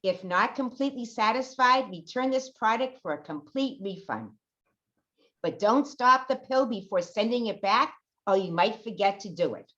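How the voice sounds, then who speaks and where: A woman's voice: a little high, lively intonation, normal speed, sharp tone. Enthusiastic testimonial woman, lo-fi radio commercial, acted. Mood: promotional. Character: enthusiastic older woman giving testimonial for memory pill. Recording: dull lo-fi audio.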